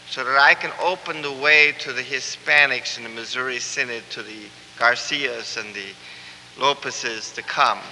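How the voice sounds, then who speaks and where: A pastor preaches slowly in a bright, fairly high voice. His earnest delivery builds to fervent emphasis. The sound rings with the long reverb of a church. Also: a little hiss or hum. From Cuba. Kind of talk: sermon. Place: church sanctuary.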